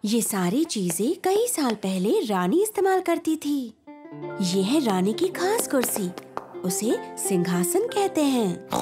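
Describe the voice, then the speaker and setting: A cheerful, practical mother pig in a children's cartoon. Her voice is high and expressive with plenty of lift, nurturing in manner, over clear background music. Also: takes it slowly. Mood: gently explaining. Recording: music behind the voice.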